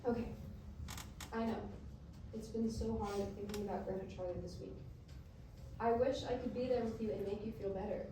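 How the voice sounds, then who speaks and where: A woman's voice: medium pitch, fairly even intonation, fairly quick, pauses often, lightly acted. A woman playing a caring but pushy long-distance girlfriend in a staged musical reading, earnest and conversational. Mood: tender, earnest. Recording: very echoey hall, distant mic, noisy.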